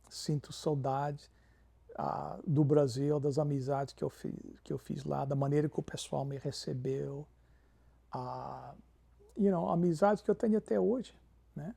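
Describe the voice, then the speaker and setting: Gravelly pastor, warm tone. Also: male voice, a little high, a little slow. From Canada. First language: English. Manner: peppers Portuguese with English fillers. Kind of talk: interview storytelling.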